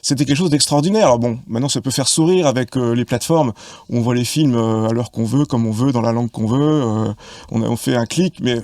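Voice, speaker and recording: Gravelly man explaining at length. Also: medium pitch, fast talker, a little mellow, no room sound. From Paris, France. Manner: long reflective explanations with many hesitations. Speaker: podcast guest, film expert. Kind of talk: casual conversation.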